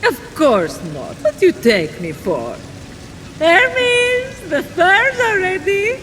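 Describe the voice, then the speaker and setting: A woman's voice: very high voice, huge pitch swings, slow pace, fairly bright tone, in a large hall, slight background noise, full character voice. A woman playing a vain, pampered goddess of love, breezy, dramatic and self-absorbed, in a comic video game. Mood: breezy, haughty.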